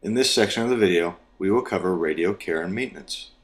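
A man's voice: sits low, fast. An American man narrating a scripted training video as an instructor, in a methodical, step-by-step manner.

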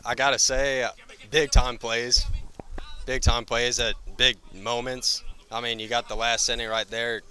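Flat, bright-toned young ballplayer. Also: male voice, medium pitch, a little slow, clear, resonant tone. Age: young adult. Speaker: college baseball shortstop. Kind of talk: postgame interview. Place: outdoors on a baseball field.

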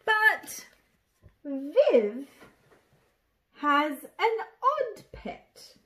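A woman's voice: extremely high pitch, very animated, drawn-out words, a bit bright. A young woman reading a picture book aloud to small children in an exaggerated, playful way, with sound effects. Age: young adult. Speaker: children's storyteller.

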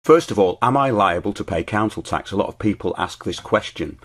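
A middle-aged man with a British accent narrates like a show host reading from a script. His voice sits on the low side and is noticeably husky. The delivery is measured and declarative. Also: expressive.

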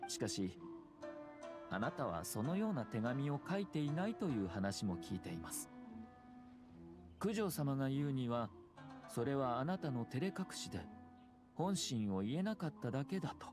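Male actor as young noble clan head, medium pitch, courteous, measured, formal, game dialogue, music behind. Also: lightly acted. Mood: courteous, calm. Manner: calm, formal, measured diplomacy. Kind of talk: Japanese video game voice acting. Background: background music.

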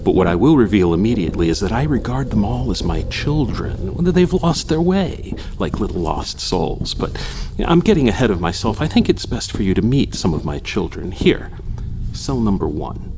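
An actor playing an unctuous, eccentric asylum doctor in a gothic radio drama, speaking with formal, theatrical courtesy. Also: male voice, fairly low pitch, big pitch swings, moderate pace, background noise. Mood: grandly welcoming.

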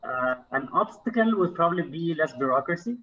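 A man's voice: fairly high pitch, animated, brisk, highs cut off. A man from Egypt speaking casually and earnestly as an interview guest, thinking aloud as he talks. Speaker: interview guest, climber and environmental advocate.

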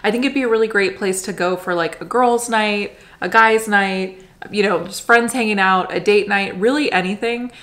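A woman's voice: mid-range voice, moderate pace, a bit bright. A woman in her thirties from Florida, co-hosting a vlog from her living room couch and gushing about restaurant food in casual chat. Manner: enthusiastic, gushing food descriptions with frequent likes. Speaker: vlog co-host.

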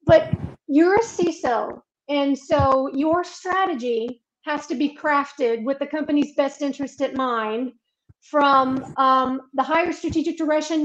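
High-voiced woman moderating a video panel. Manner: encouraging, asks guests questions. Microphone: video call. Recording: dull lo-fi video call audio.

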